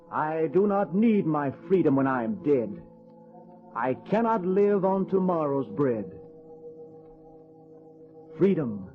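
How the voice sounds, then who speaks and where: An earnest young busboy poet from an old radio play. His fairly high voice swings widely in pitch, unassuming yet expressive, on a muffled vintage recording with some music. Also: heavily acted. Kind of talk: old-time radio drama acting.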